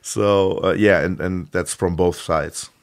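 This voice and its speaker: Deep-voiced man, halting with fillers. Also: fairly even intonation. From Iran. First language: Armenian. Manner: hesitant, many fillers and restarts. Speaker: guest. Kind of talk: conversational interview.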